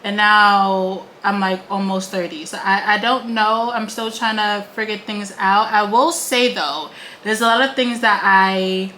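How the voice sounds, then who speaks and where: A woman's voice: medium pitch, pushed and bright, a little hiss or hum, low-quality recording. A woman in her late twenties chatting casually at home while doing a makeup tutorial, with lots of "like" and "um" fillers. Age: late 20s. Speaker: beauty vlogger. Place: home, indoors.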